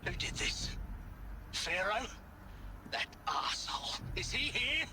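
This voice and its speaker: A worked-up sarcastic scientist in a game, really high for a man, noisy ambience behind. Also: sing-song, slow pace, full character voice. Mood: horrified, bitter. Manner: emphatic, sarcastic, incredulous. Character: agitated sarcastic male scientist, outraged and unravelling. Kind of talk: video game cutscene acting.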